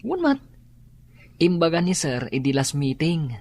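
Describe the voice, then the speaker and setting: A man's voice: fairly high pitch, big pitch swings, unhurried, darkish tone, exaggerated voice. A man playing an earnest, studious young student in an Ilocano radio drama.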